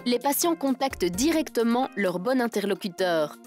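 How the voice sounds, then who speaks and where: A woman's voice: on the high side, animated, normal speed, darkish tone. A woman reading scripted narration for a corporate video. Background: background music.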